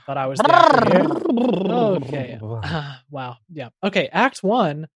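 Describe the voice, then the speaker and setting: A man's voice: fairly high pitch, big pitch swings, measured pace, a bit bright, husky, no room sound, full character voice. A man playing a hesitant, self-important small-town Z-list actor in a comedy audio drama, often stammering. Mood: earnest, flustered. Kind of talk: improvised-sounding comedic audio drama dialogue.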